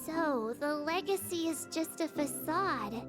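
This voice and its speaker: A young companion character in a game, with a very high, bright voice. She asks short, startled questions, and loud music plays behind her. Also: lively intonation, slow. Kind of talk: video game cutscene dialogue.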